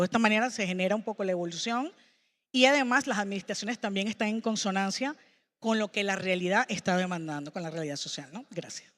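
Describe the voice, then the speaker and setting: A woman's voice: medium pitch, fairly bright tone, long reverb tail. A woman speaking on a summit panel in formal, policy-minded language.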